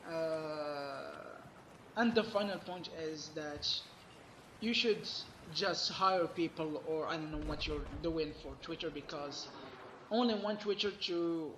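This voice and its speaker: A man giving an emphatic, complaining gaming commentary while losing his voice, sounding hoarse. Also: fairly high pitch, a bit bright, echoey room, slight background noise, poor audio. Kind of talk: solo gaming rant.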